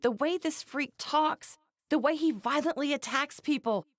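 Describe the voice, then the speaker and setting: A woman playing a feisty, opinionated wife and mother in a video game, fiercely protective of her family and quick to get indignant. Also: sits high, no echo, studio quality. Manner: opinionated, emphatic, openly indignant. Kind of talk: scripted video game character banter.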